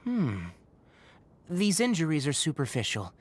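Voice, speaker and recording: Young game scholar, fairly high voice, composed, precise, dry, expressive intonation. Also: male voice, husky, full character voice. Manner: measured, precise, matter-of-fact. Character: calm, knowledgeable young male forest researcher, composed and dry.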